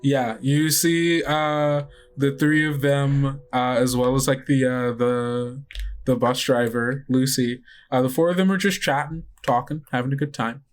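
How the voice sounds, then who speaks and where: A woman running a tabletop game as game master, narrating in a halting, conversational, improvised way. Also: very deep voice, fairly even intonation. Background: music.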